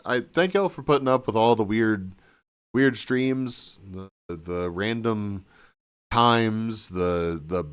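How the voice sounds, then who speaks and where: A man chatting casually with his stream viewers, rambling and restarting sentences, sniffly and a bit hoarse. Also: lowish voice, fairly bright tone, no echo. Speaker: livestreamer. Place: cabin room.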